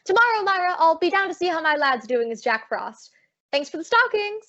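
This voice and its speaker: A woman's voice: very high-pitched, expressive, a little fast, a bit bright. A young woman playing a spirited female private detective, breezy and wry, in old-time radio detective style. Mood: bright, social. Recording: dull lo-fi audio, very dry.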